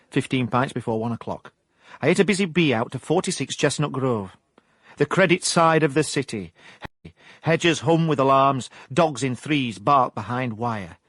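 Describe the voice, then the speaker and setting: An actor with a South Yorkshire accent playing a hapless ex-miner turned private investigator in a radio comedy-drama, deadpan and mock hard-boiled. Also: male voice, mid-range voice, no echo, clean studio sound, lightly acted. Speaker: narrator and lead actor. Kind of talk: radio comedy-drama with first-person narration.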